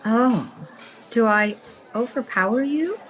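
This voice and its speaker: Slow, animated, self-assured lady publisher played by a woman. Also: a little high, faint music behind. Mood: teasing, exasperated. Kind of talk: old-time radio comedy acting.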